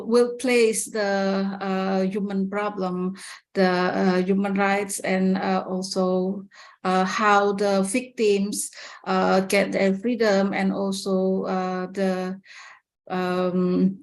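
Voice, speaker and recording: Female panelist, Indonesian accent, mid-pitched voice, hesitant and unhurried delivery. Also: little pitch movement, a little mellow. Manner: hesitant, halting, frequent pauses. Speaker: university lecturer, webinar panelist. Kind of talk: answering a question in a webinar. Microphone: video call.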